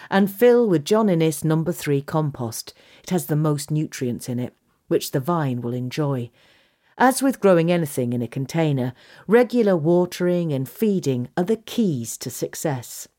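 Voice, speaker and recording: A woman reading a scripted gardening article aloud in a measured, instructional manner. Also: sits low, warm tone. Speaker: reader of a gardening magazine article. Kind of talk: scripted narration.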